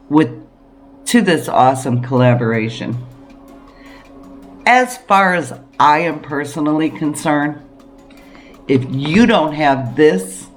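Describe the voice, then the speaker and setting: A woman hosting a homesteading video, talking straight to viewers in an earnest, emphatic way with rhetorical questions. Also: very deep voice. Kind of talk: direct-to-viewer preparedness talk.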